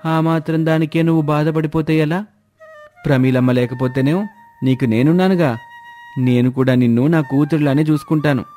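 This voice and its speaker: A man playing a deceitful, cruel butcher who talks smoothly and theatrically in an acted moral tale. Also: fairly high pitch, fairly even intonation, moderate pace, mellow, faint music behind, close to an everyday voice. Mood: falsely soothing. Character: deceitful, cruel male butcher posing as kindly milk trader. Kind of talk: acted moral-story cartoon dialogue.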